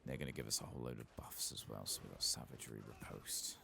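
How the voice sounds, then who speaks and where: A soft-spoken man murmuring unhurried ASMR commentary to himself as he plays through a video game. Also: rumbling low, steady tone, vocal fry. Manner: murmured, unhurried, talking to himself while playing. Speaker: gaming channel host. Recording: faint background noise.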